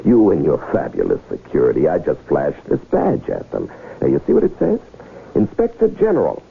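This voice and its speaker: An actor playing a brazen, smooth-talking con man in a theatrical, teasing, talkative manner for a 1970s radio drama. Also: a bass voice, huge pitch swings, brisk, slight background noise, full character voice. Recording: muffled old radio broadcast recording.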